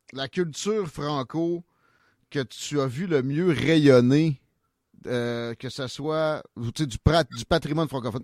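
A man's voice: medium pitch, dry recording, studio quality. A man with a Quebec French accent hosting an interview in a chatty way, with many fillers. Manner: chatty, with frequent fillers and affirmations. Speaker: podcast host. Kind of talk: casual interview conversation.

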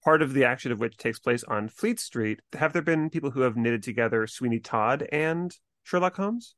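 A man's voice: mid-range voice, lively intonation, normal speed, fairly bright tone, no room sound, clean studio sound. A man hosting a podcast, casually asking questions and steering the discussion. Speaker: podcast host. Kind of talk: casual interviewing.

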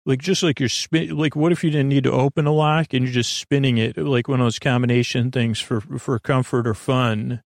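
A man hosting a bedtime podcast in a creaky, soothing voice, meandering through halting tangents and interrupting himself. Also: medium pitch, steady tone, no echo, clean studio sound. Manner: lulling, soothing. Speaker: podcast host. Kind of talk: rambling bedtime sleep-podcast monologue.

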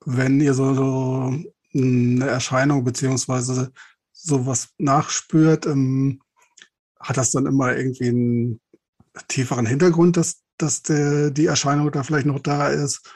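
A man's voice: mid-range voice, fairly even intonation, measured pace, darkish tone. A man hosting a podcast interview, asking casual questions in a tentative way and rephrasing as he goes. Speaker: podcast host interviewing guests.

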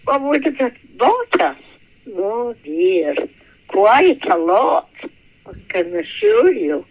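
A woman in her eighties recalls the past in a halting, unhurried way. Her voice is slow and gravelly. The recording is muffled and lo-fi. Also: a little high, big pitch swings, a little mellow. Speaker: interviewee. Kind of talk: reminiscing.

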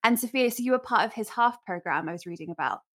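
A woman's voice: on the high side, lively intonation, fast, fairly bright tone. A woman hosting a podcast interview, upbeat and gushing, laughing often as she introduces her guests. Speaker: podcast host.

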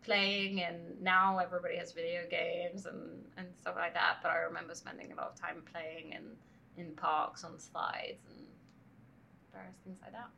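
Gravelly-voiced woman guest, hesitant. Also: lowish voice, flat delivery, moderate pace, bright, forceful tone, a little hiss or hum, low-quality recording. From France. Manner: thoughtful, many hesitations and restarts. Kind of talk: conversational interview. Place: conference venue. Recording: faint background ambience at a summit.

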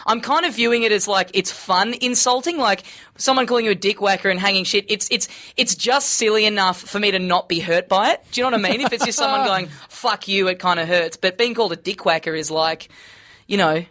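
A high-pitched man hosts a laid-back comedy podcast from a small studio. His voice is bright and sharp, and he talks in a chatty, self-deprecating way. He sits close to a studio microphone. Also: a little fast. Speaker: podcast host. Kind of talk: casual comedy podcast chat.

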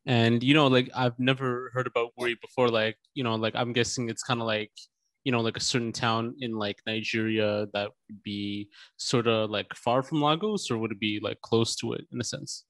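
A man's voice: medium pitch, fairly even intonation, moderate pace, fairly bright tone, dry recording. A male podcast host in a medium register, recorded dry with almost no room sound. His questions wander and are padded with casual fillers. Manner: meandering questions full of fillers.

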